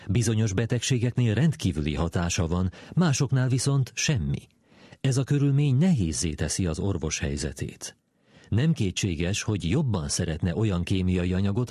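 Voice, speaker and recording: Deep, mellow actor as a measured male scientist. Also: slightly rough, no echo, studio quality. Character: male scientist, measured and matter-of-fact, explaining his discovery. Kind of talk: scripted dramatic reading of a quotation.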